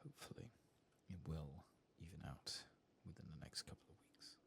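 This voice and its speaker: A man hosting an ASMR game playthrough, speaking softly and casually in a breathy murmur that often drops toward a whisper. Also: very deep voice, lively intonation, brisk, a little hiss or hum. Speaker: ASMR gaming channel host. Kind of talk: soft-spoken ASMR game playthrough narration.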